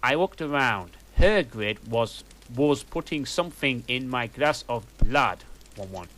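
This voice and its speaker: A man reads a story aloud for comedy at home. He takes his time and lets his pitch rise and fall with animation. Between lines he drops dry, mocking asides. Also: lively intonation, a little slow, fairly bright tone. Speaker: reader. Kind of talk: comic reading of a fanfic.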